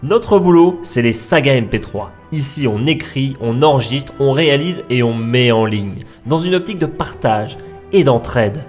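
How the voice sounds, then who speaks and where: A man playing a brisk, sarcastic office manager chairing a staff meeting in a comedy audio drama. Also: medium pitch, lively intonation, a little mellow, soft background music, lightly acted. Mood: official, brisk. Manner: blunt, dry, addressing staff like a chairman.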